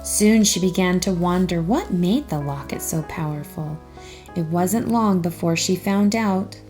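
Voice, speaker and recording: A woman narrating a children's storybook aloud in an expressive, careful storytelling manner. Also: on the low side, unhurried, faint music behind.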